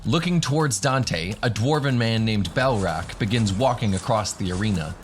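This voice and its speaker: A man's voice: fairly low pitch, moderate pace, soft background music. A man narrating a scripted fantasy adventure as the game master of a radio drama.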